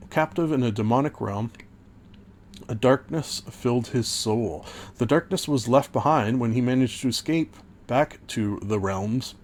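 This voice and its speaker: A man hosting a podcast, casually recapping an old tabletop campaign, rambling with many fillers and self-corrections, and a little hoarse. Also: medium pitch, darkish tone, slight background noise. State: losing his voice. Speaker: podcast host and game master.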